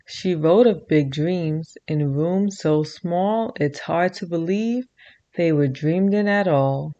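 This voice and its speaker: A woman's voice: on the low side, muffled recording. A woman hosting a children's video, reading a rhyming picture book aloud and leading kids in games. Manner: slow rhythmic rhyming read-aloud; bright sing-song teacher voice for kids. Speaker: children's show host.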